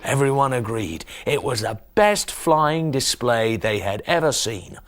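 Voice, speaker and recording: A man in his forties reading a children's bedtime story expressively, with dramatic, hushed emphasis. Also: mid-range voice, lively intonation, a little slow, slight background noise. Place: small TV studio kitchen set, indoors. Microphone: lapel mic.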